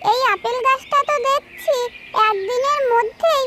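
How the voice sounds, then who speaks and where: A very squeaky, sweet little bird wife. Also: female voice, normal speed, very noisy. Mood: delighted, gushing. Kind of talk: children's cartoon fairy tale dialogue. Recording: loud tinkling children's music behind.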